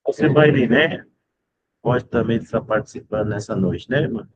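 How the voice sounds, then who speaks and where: A man's voice: mid-range voice, lo-fi sound, noisy background. A man leading an online discipleship Bible class over a video call, fervent and often tagging his sentences with a questioning "né?". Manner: fervent, fills pauses with "né?". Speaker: Bible study leader.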